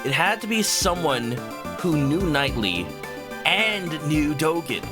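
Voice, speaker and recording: A man's voice: on the high side, very animated, takes it slowly. A man streaming a video game, chatting playfully and reading game text aloud. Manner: playful, often reads game text aloud and shouts catchphrases. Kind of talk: casual gaming commentary. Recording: game music behind the voice.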